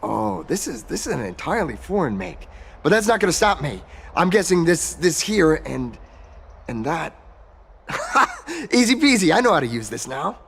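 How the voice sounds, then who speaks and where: An actor playing an excitable, bashful young explorer in a video game, stammering eagerly as he talks. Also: on the high side, moderate pace, vocal fry, slightly rough, full character voice. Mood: eager, boastful. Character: excitable, bashful young male would-be explorer of a holy city. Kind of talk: video game character acting.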